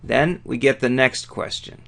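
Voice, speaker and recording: A man with a gravelly, creaky voice giving an informal tutorial as an instructor, explaining conversationally and thinking aloud. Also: mid-range voice, unhurried, a bit bright. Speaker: accounting instructor. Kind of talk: informal tutorial, walking through a worked problem.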